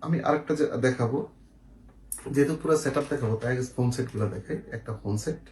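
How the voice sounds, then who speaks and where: A man's voice: medium pitch, fast, darkish tone. A man with a Bangladeshi accent casually presenting and explaining products as a seller, often checking in with the viewer. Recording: echoey room, faint noise, clicks.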